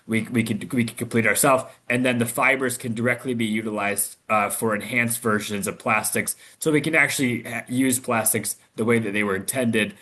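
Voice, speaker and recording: A man from Michigan, an investor answering questions on a video call, talking haltingly with many restarts and fillers. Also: mid-range voice, fairly even intonation, sharp tone. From Michigan, USA. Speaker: investor guest.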